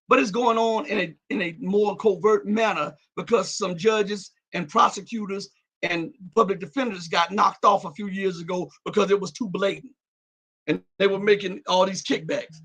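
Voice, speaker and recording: A man with a high, husky voice that cracks and breaks up hosts a political livestream. He reads viewer comments aloud and reacts to them. He often climbs into loud, heated rhetorical questions, with a bright, forceful tone. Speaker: livestream host.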